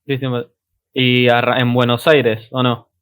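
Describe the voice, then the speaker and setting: A young man hosting a podcast, asking relaxed questions with short prompts. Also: mid-range voice, unhurried, clear, resonant tone. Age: young adult. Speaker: podcast host. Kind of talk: casual interview questions.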